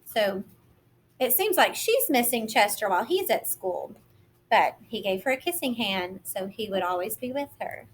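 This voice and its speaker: A woman reading a picture book aloud as a kindergarten teacher, gentle and expressive, explaining simply to young children. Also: mid-range voice, moderate pace, a little hiss or hum.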